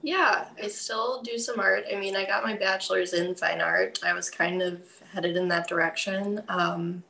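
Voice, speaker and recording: A woman's voice: medium pitch, fairly even intonation, moderate pace, creaky, few pauses, pushed and bright, low-quality recording. A young woman as an interview guest, chatty and giggly, peppering her talk with "like". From Milwaukee area, USA. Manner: chatty, giggly, says "like" often. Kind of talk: casual interview chat.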